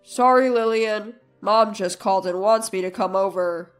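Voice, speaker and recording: A woman acts out a selfish mama's-boy husband in his thirties from a narrated drama. He is curt and dismissive, with soft music behind him. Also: medium pitch, moderate pace, close to an everyday voice. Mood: dismissive, pleading. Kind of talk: acted dialogue in a narrated story.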